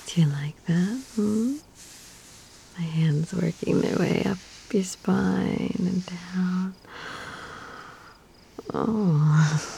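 A woman speaking in a soft, breathy whisper with teasing murmurs, performing an intimate audio roleplay. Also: on the low side, slight background noise.

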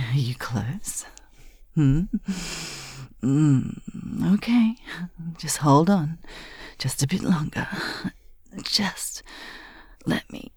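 A young adult woman whispers teasingly into a close microphone, slow and unhurried. Her voice sits low and turns breathy and gravelly, with a dry, close sound and lively swings in pitch. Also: no room sound. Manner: teasing, heavy whispering with soft laughs. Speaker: audio roleplay performer. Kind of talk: intimate whispered adult audio roleplay. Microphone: close mic.

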